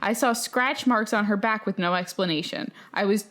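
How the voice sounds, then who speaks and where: A woman's voice: mid-range voice, moderate pace, a bit bright. A woman co-hosting a podcast, chatting casually and reading emails aloud in an informal, slangy way. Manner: informal, slangy, peppers talk with "like". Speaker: podcast co-host.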